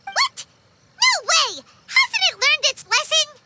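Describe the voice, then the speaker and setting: A woman voicing a small, childlike fairy companion in a video game, squeaky, blunt and excitable, with music behind her. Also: slow pace, heavy background noise, heavily acted. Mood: alarmed. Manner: animated, blunt, exclaiming. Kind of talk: cartoonish game acting.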